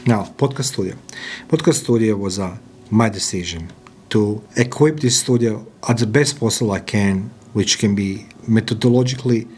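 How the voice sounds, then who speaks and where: A man's voice: on the low side, moderate pace, darkish tone, slight background noise. A man from Croatia, giving an emphatic motivational talk in as a podcaster and business owner. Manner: emphatic, repetitive, non-native phrasing. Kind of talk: motivational monologue.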